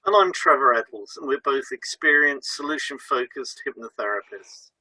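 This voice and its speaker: A man's voice: mid-range voice, animated, normal speed, sharp tone, rough recording. A man co-hosting an educational podcast, explaining from a script. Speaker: podcast co-host and hypnotherapist.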